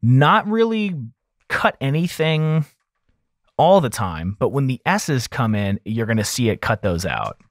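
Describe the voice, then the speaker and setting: A man's voice: mid-range voice, big pitch swings, unhurried, no echo, studio quality. A man explaining audio mixing in a casual tutorial style, informal and thinking aloud as he goes.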